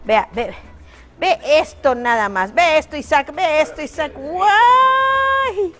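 A woman's voice: high-pitched, lively intonation, slow pace, bright, forceful tone, soft background music. A middle-aged woman hosts a live TV cooking segment in a studio kitchen, chatty and affectionate with viewers, full of exclamations. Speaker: TV cooking show host.

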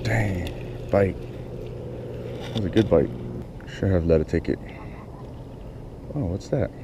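A man's voice: sits low, slow, background noise. A man talking casually to himself while fishing from a kayak on a lake, swearing now and then, recorded on a head-mounted action camera. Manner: muttering to himself, swears often. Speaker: fishing vlogger. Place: small kayak on a lake outdoors.

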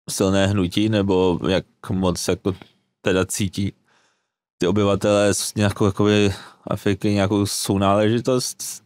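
A man in his thirties hosting a panel discussion in a small studio, asking questions haltingly while searching for his words. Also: sits low, no echo. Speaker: host. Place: small studio with wooden table. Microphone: desk mic on boom arm.

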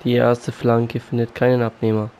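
A man with a cold and a sore throat, calmly commentating his own football video game match. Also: mid-range voice, moderate pace, slight background noise. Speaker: gaming YouTuber. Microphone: USB condenser mic. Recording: faint game music behind.

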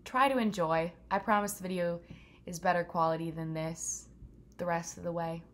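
A woman's voice: lowish voice, steady tone, moderate pace, slight background noise. A teenage girl vlogging in a casual, upbeat way, talking to a camera held at arm's length in a car and outdoors. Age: teens. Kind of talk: casual vlog chat. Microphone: handheld camera at arm's length.

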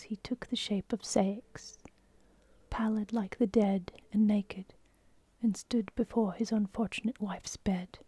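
A woman narrating a classical myth in a soft, slow unvoiced whisper, ASMR style, close to the mic. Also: medium pitch, breathy edge, no room sound.

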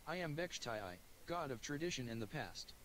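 Stately male god of tradition, formal speech, mid pitch, read by a man in his own voice. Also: sing-song, normal speed, fairly bright tone, a little hiss or hum, rough recording. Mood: solemn.

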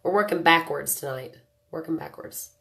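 Brief: thirties woman, lilt, low and stuffy-nosed from a cold, chatty webcam host. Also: normal speed, gravelly, a bit bright. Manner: chatty, joking, greets viewers by name. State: nasal voice from a cold. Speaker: makeup livestream host. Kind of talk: casual live makeup tutorial chat. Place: small home room indoors.

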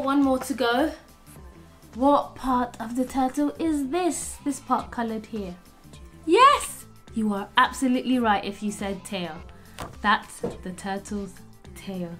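A young woman presenting a children's lesson, animated and encouraging, speaking clearly for small children. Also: fairly high pitch. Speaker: early years teacher and host. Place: small room with plain walls, indoors.

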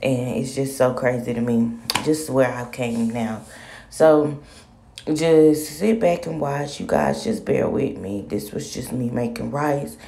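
A woman chats her way through a home recipe, easygoing and talkative. Her voice sits low and dark, with a gravelly fry running under it. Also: slow, a little hiss or hum. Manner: relaxed, chatty, talks viewers through steps. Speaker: home cooking vlogger. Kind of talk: casual cooking vlog, voice-over narration. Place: home kitchen.

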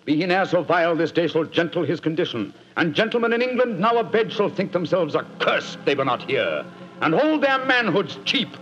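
A man's voice: a little high, sing-song, moderate pace, a bit bright. An actor playing a warrior king giving a rousing speech, in a declamatory, theatrical manner. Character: stirring man delivering Shakespeare war speech. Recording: dull lo-fi old radio recording.

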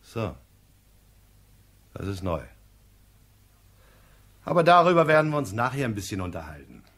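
An actor playing a weary, gruff police lieutenant in a radio crime drama. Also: male voice, mid-range voice, big pitch swings, moderate pace, fairly bright tone. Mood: stern, sardonic.

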